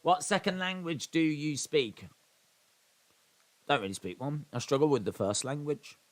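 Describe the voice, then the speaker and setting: A man's voice: fairly high pitch, measured pace. Chatty, rambling man hosting a watch-along. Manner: rambling, chatty, self-deprecating asides. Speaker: live stream host. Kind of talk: casual watch-along chat reading viewer comments.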